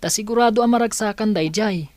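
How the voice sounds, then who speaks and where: An actor with a Filipino accent playing a gentle, determined student in a radio drama, speaking earnestly. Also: male voice, high voice, quick pace, no room sound, natural voice.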